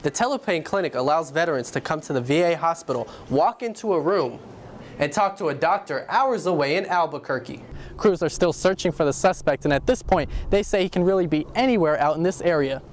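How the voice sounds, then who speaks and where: A man's voice: fairly high pitch, expressive, fairly quick. TV news reporter, man, noisy street behind. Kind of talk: scripted news report narration, live stand-ups.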